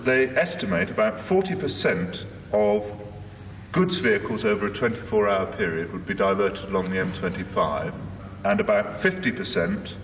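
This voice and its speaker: A man explains technical detail in a lowish voice. He sounds far from the mic in a long-ringing hall, with faint noise. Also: a bit bright.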